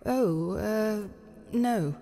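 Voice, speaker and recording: An actress playing a polite, helpful woman curator in an audio drama, halting and deferential as she explains. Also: mid-range voice, dark tone. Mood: polite, flustered. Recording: echoing hall reverb.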